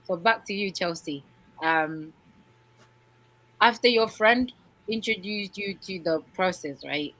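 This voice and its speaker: A woman's voice: mid-range voice, slow pace, long pauses, fairly bright tone, rough recording. A woman hosting a casual interview, prompting her guests in an informal, encouraging way. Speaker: host.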